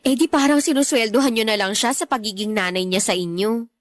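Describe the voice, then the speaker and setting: A woman's voice: on the high side, fairly quick, dry recording, clean studio sound, close to an everyday voice. A woman with a Filipino accent playing a supportive young girlfriend, speaking casually and earnestly in a radio drama. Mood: concerned, affectionate. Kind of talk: Tagalog radio drama dialogue.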